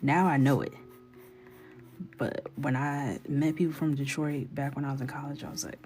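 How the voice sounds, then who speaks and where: A woman's voice: deep voice, steady tone, normal speed, darkish tone, faint music behind. A young woman from New York chatting playfully while she colors on a livestream, slipping into whispers. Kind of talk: casual chat while coloring.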